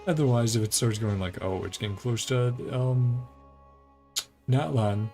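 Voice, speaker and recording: Very low-voiced, creaky woman gaming. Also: measured pace, mellow, soft background music. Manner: rambling, thinking aloud. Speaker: game streamer. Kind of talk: casual let's-play commentary, reading game dialogue aloud.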